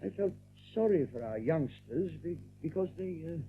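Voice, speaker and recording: Rabbit captain: highish, hesitant, evasive, stammering under questioning; audio drama, noisy old-sounding recording. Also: male voice, lively intonation, moderate pace. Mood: uneasy, sombre. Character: nervous male rabbit captain hiding a secret. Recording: muffled lo-fi tape with background noise.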